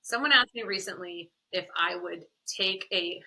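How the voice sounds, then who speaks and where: A woman guest on a podcast, chatting candidly with a smoky voice, animated and quick to laugh. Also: lowish voice, vocal fry, low-quality recording. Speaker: podcast guest, content creator and confidence coach. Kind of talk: candid conversational interview.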